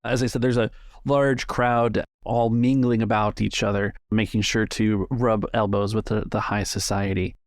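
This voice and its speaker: A man narrating a tabletop role-playing game as the game master, with a gravelly voice. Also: on the low side, level tone, normal speed, no echo, clean studio sound.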